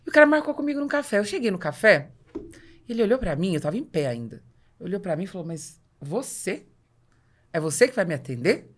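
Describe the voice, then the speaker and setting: A woman's voice: medium pitch, brisk, a little hiss or hum. A woman with a Brazilian accent and a mid-range voice hosts a business podcast. She chats conversationally, tells personal stories with animation and acts out the dialogue as she goes. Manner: animated storyteller, acting out dialogue. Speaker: podcast host and business coach.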